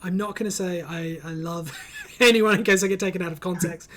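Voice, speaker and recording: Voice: mid-range voice, steady tone, fairly quick, fairly bright tone, a little hiss or hum. A host of a casual online group chat, reading out comments and speaking in an encouraging, reassuring way over a voice call.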